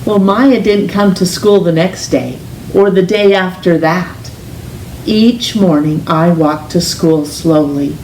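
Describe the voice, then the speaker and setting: Woman, slightly low voice, very slow gentle picture-book read-aloud, expressive emphasis, roomy distant recording with faint noise. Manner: deliberate, gentle storytelling with drawn-out emphasis. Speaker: storytime reader, teacher.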